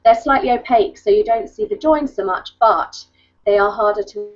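A woman's voice: mid-range voice, normal speed. A woman in her thirties chatting casually as she shows crafts, often interrupting herself, on a video call from home. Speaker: craft blogger. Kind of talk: casual craft show-and-tell. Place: book-lined room at home. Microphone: webcam video call. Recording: dull lo-fi video call audio.

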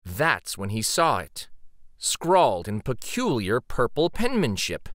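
A man reading a children's story aloud dramatically, with theatrical, suspenseful pauses. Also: medium pitch, wildly swooping pitch, a bit bright, no echo, clean studio sound.

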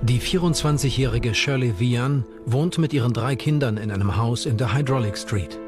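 A man narrates a true crime documentary from a script. His voice sits at a middling pitch, with music playing faintly underneath. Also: normal speed. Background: background music.

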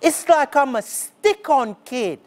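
A man's voice: expressive, heavily acted. A man on stage playing a lonely young boy who begs to be a sidekick, speaking earnestly in a theatrical spoken-word monologue. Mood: bitter. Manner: earnest, slow and deliberate, rising pitch on key words. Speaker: stage performer. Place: dark smoky theatre stage.

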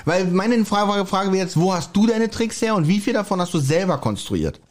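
A man's voice: sits high, lively intonation, normal speed. A man co-hosting a podcast, chatting casually and jokingly. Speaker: podcast host.

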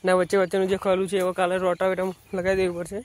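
A man's voice: sits high, completely flat delivery, racing, heavy background noise. A man, chatting casually as he vlogs on a handheld phone out in an open field. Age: 20s. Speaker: farm vlogger. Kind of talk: casual vlog narration while walking. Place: open farm field outdoors. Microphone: handheld phone at arm's length.

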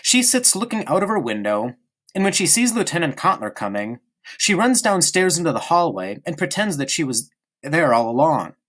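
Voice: medium pitch, very animated, moderate pace, a bit bright, voice pushed up. A narrator voicing a stubborn, chatty young boy in an audiobook, speaking eagerly and insistently. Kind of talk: audiobook character reading.

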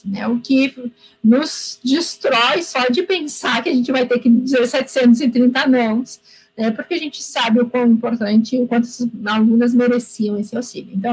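A woman's voice: fairly high pitch, breathy edge, poor audio. Earnest woman talking on a video call. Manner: earnest, animated, frequent tag questions. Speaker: guest speaker, university lecturer. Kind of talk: conversational talk answering a question. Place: home room with bookshelves. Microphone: laptop or webcam mic on a video call.

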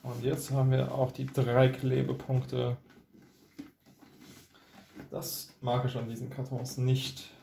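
A man's voice: mid-range voice, monotone, takes it slowly, gravelly, pauses often, dark tone, slight background noise. A man hosting an unboxing with casual hands-on commentary, recorded indoors at a table. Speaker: unboxing video host.

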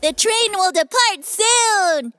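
A woman's voice: very high voice, lively intonation, slow pace, exaggerated voice. A woman voicing an upbeat young boy passenger train in a cartoon. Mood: cheerful. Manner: bright, upbeat. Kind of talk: cartoon voice acting.

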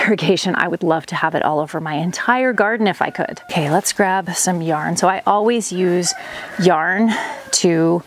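A woman's voice: lowish voice, no echo. A woman in her thirties chatting casually as she explains her gardening and beekeeping while she works, recorded close on a handheld camera in a greenhouse and a farmyard. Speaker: farm vlogger. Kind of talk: casual how-to vlog narration. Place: plastic greenhouse and outdoor farmyard.

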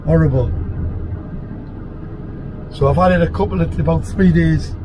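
Hoarse trucker over road noise. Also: male voice, a little high, moderate pace. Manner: chatty, rambling, self-deprecating. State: hoarse, lost voice from a cough. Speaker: truck driver vlogger. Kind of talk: casual driving vlog. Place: cab of a moving truck. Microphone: dashcam-style camera in the cab. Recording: loud road and engine noise in a truck cab. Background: loud engine and road noise.

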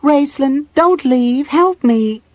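A man voicing a small, frightened fantasy creature with a high, thin, pleading voice in an audiobook. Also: animated, takes it slowly, no echo, exaggerated voice.

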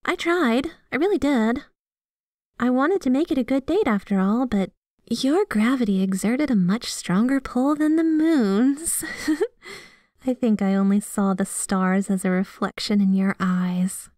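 A woman's voice: on the high side, lively intonation, measured pace, darkish tone, no room sound, studio quality. A woman playing a sweet, devoted, jealous yandere girlfriend in an ASMR roleplay, speaking with affectionate, playfully possessive manner. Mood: tender, dreamy. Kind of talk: ASMR roleplay, scripted one-sided conversation.

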